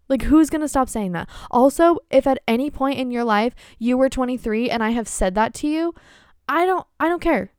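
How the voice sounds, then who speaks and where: An excitable young woman in her twenties talks through her solo podcast, candid and quick to swear. Her voice is smooth and clear, recorded dry and close with no room around it. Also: fairly high pitch, dry recording. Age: 20s. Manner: excitable, candid, swears casually, frequent laughs and fillers. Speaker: podcast host. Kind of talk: casual solo chat.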